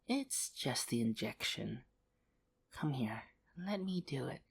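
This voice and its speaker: A woman's voice: rumbling low, very animated, slow, creaky, breathy edge, full character voice. A woman voicing a betrayed, vengeful test subject in a horror audio roleplay, coaxing and menacing, with hurt close to the surface.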